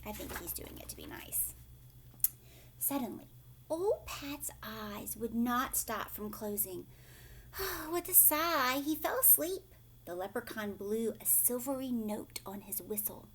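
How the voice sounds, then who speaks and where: A woman teacher reading a storybook aloud to young children, dramatic and expressive, with hushed questioning asides. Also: fairly high pitch, slow, a little hiss or hum, low-quality recording.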